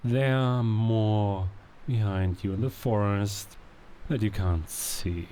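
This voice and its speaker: A man acts a commanding werewolf king in a cinematic audio drama. He is calm and measured, very slow, with a low, dark, mellow voice. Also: a little hiss or hum.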